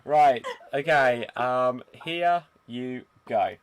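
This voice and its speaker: A man's voice: medium pitch, very slow, clean tone, no room sound. A man hosting a live-streamed improv game over a video call, bubbly and teasing, and often laughing. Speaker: improv show host.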